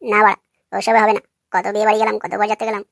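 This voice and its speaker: Woman as a rapid-fire brash young troublemaker. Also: medium pitch, level tone, gravelly, no echo, clean studio sound. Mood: dismissive. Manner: pushy, foul-mouthed. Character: brash, lewd, scheming young male prankster. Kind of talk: comic cartoon dialogue.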